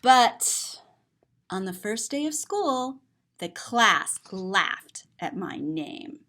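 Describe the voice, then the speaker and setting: Woman reading as earnest, expressive little storybook girl. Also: mid-range voice, extremely slow, exaggerated voice. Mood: sad, hurt. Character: sensitive, big-hearted little girl narrating her story. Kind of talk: rhyming children's storybook.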